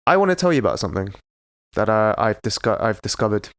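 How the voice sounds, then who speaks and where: A man's voice: lowish voice, sing-song, fast talker, smooth. Man with a cold, co-hosting a podcast. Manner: hesitant, many fillers and restarts. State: ill, with a cold. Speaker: podcast co-host. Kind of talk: casual chat.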